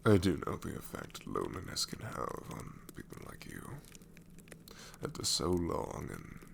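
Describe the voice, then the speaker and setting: A man's voice: deep voice, huge pitch swings, measured pace, vocal fry, a little hiss or hum, heavily acted. A man voicing a sassy, married male shadow demon in an ASMR roleplay, talking sarcastically and drawling, often dropping into whispers. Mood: wry.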